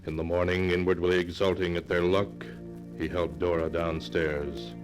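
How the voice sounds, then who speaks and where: A man narrating an old-time radio suspense drama in a grave, measured, dramatic storytelling style. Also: low-pitched, little pitch movement, natural voice. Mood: grave, suspenseful. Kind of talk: 1940s radio scripted narration. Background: music.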